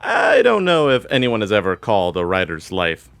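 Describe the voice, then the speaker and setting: A man's voice: fairly low pitch, huge pitch swings, normal speed, vocal fry, a bit bright, no echo. A man chatting as an interview guest, a writer with a wry, supportive manner. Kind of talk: casual interview chat.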